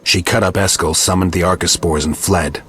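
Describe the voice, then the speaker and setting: An actor playing a calm, reasonable monster hunter in a video game, with terse, restrained delivery. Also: male voice, sits low, moderate pace, breathy edge, full character voice. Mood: grave, firm.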